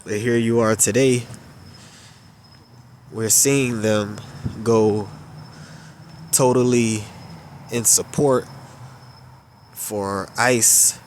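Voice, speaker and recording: A man with a stuffed-up, nasal voice giving unhurried religious commentary, drawing out his phrases with filler pauses. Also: fairly low pitch, fairly even intonation, a little mellow, slight background noise.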